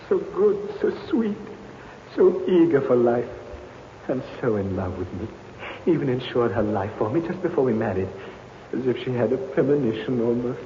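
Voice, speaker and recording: A man acting a smooth, falsely devoted young bridegroom in an old radio thriller. His theatrical, ingratiating voice swings widely in pitch, on a muffled and faintly noisy recording. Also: lightly acted. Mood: mournful. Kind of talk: 1950s radio drama acting.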